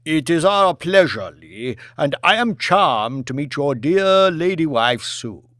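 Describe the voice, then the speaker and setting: A man's voice: on the high side, very animated, slow pace, fairly bright tone, no echo, studio quality, heavily acted. A man voicing a gracious, courteous lord in an audiobook, with a formal manner. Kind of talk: audiobook character acting.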